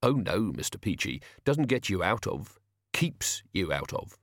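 A man voicing a careful, seasoned criminal who instructs in a measured, plain-spoken way, in an audiobook. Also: fairly low pitch, sing-song, no echo, studio quality. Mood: calm instructing. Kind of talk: audiobook character voice.

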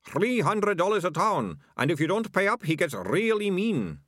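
A man's voice: high voice, big pitch swings, normal speed, a bit bright, no echo, studio quality, voice pushed up, full character voice. A man voicing a cheerful, jeering male thug sidekick, gleeful and taunting, in a storybook reading.

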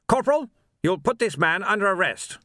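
A man's voice: high voice, sing-song, normal speed, pushed and bright, no echo, clean studio sound, voice pushed up, exaggerated voice. A man reading an audiobook voices an arrogant, stiff-necked army captain who talks in clipped, imperious orders.

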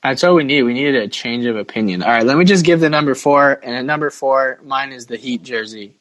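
A man's voice: medium pitch, brisk, a bit bright, no room sound. Man hosting with playful teasing, close dry sound. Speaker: podcast host. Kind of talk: casual sports banter.

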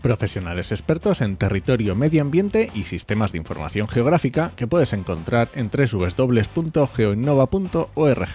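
A man's voice: medium pitch, big pitch swings, normal speed, hardly any pauses, dark tone, faint music behind. A man co-hosting a podcast in a casual style. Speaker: podcast co-host.

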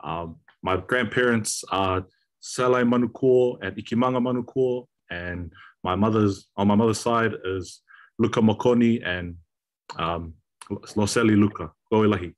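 Young kiwi guy on a podcast, lowish voice, kinda hesitant, lots of ums. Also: moderate pace. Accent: New Zealand. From New Zealand. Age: young adult. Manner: hesitant, reflective, many fillers. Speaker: guest. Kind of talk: casual podcast chat.

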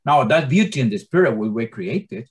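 A man's voice: mid-range voice, lively intonation, moderate pace. A man in his sixties giving an informal Bible teaching to a small group, speaking English as a second language with grammar slips and frequent stammered restarts. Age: 60s. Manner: impassioned, many stammered restarts.

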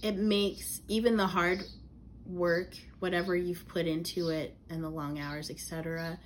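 A woman actor chatting casually as a podcast co-host, relaxed and full of fillers. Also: fairly low pitch, a little slow, slight background noise. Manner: relaxed, trailing, filler-heavy phrasing. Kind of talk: casual chat.

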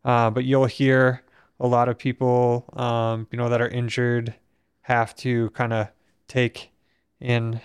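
A young man from Minnesota talks in a steady, reflective way. His voice is smooth and clean, with little rise and fall and not much breath behind it. The recording is dry and close to the mic. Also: medium pitch, slow pace, no room sound. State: weak breath support. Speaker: podcast host. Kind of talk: casual conversation.

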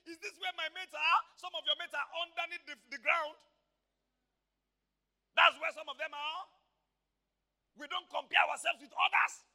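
A man preaching an energetic sermon in a church, swinging from quiet asides to shouted exclamations and acting out voices. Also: very high voice, gravelly. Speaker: pastor. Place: church hall.

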